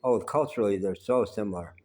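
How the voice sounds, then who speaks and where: A man with a lowish voice telling a long personal story at an easy, unhurried pace. He rambles conversationally and drops in plenty of pauses and "uh"s. His voice is naturally loud. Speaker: vlogger telling life stories.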